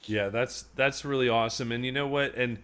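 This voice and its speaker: A man's voice: medium pitch, steady tone, a little fast, a bit bright, smooth. A man hosting a casual livestream interview, rambling with many restarts and frequent laughs, and a hint of a New York accent. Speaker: interview host.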